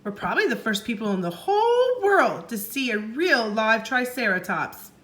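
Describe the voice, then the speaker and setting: A woman's voice: a little high, sing-song, normal speed, a bit bright. A woman voicing a bold, curious little girl in a storybook, with an eager, cheerful, playful manner.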